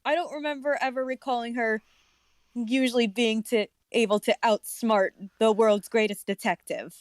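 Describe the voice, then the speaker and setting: A woman's voice: fairly high pitch, fairly even intonation, normal speed, dry recording. A woman co-hosting a casual discussion, talking in a sarcastic, critical way. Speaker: podcast co-host. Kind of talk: casual comic-book discussion.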